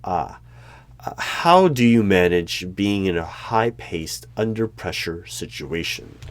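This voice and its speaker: Deep-voiced male interviewer, halting and probing. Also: expressive, no room sound. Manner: deliberate, halting, questioning, with pauses. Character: eerie, probing male hiring manager of a secretive bureau. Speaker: hiring manager interviewer in a solo roleplay. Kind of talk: one-sided job interview roleplay, ASMR. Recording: background noise with keyboard typing and clicks. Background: computer keyboard typing.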